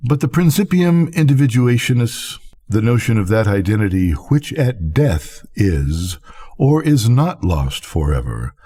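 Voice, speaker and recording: Older guy reading an old bedtime story, raspy mellow voice, measured and deliberate. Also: on the low side, sing-song. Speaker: storyteller, reader.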